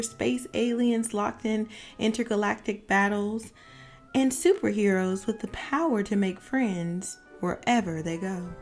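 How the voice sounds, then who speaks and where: A woman narrating a children's picture book as a storyteller, with expressive, deliberate phrasing for young listeners. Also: a little high. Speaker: read-aloud storyteller.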